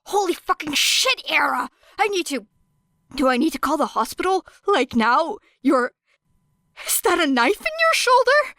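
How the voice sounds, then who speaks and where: A woman's voice: high-pitched, big pitch swings, unhurried, bright, forceful tone, no echo, studio quality, voice pushed up, exaggerated voice. A woman voicing an alarmed, protective teenage boy who stammers and swears urgently in an audiobook. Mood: panicked, shocked. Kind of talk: audiobook character acting.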